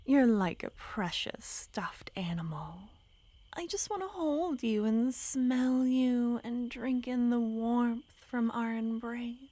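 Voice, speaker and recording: Woman playing a slow, whispery yandere witch. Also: a little high, no room sound. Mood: sweetly menacing. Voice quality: soft whispering, breathy. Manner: slow, sweetly menacing, teasing. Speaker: ASMR roleplay performer. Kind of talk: ASMR roleplay.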